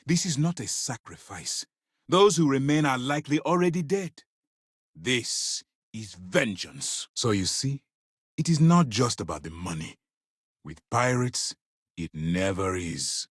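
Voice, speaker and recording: A stern, principled military commander of middle age for a space video game. His delivery is formal and measured with firm authority, yet very animated in pitch. The recording is dry and close, with no room sound. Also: no echo. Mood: grave, firm. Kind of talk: dramatic video game dialogue.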